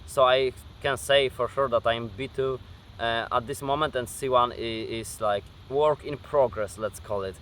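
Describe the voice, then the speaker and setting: A man's voice: mid-range voice, measured pace, fairly bright tone, slight background noise. An eighteen-year-old man with a Polish accent, answering viewer questions outdoors in a casual vlog, hesitant with many fillers and restarts. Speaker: gaming YouTuber. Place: outdoors at dusk. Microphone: camera facing him.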